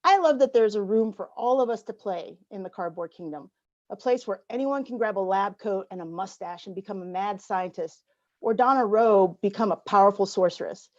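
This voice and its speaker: A woman from an embassy reading prepared welcome remarks with a measured, formal delivery, heard over a video call. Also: mid-range voice, a little mellow, lo-fi sound. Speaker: embassy representative opening the event.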